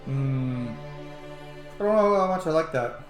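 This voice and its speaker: A man's voice: medium pitch, expressive, a little slow. A man giving casual let's-play commentary, exclaiming as he plays. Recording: roomy echo over game music.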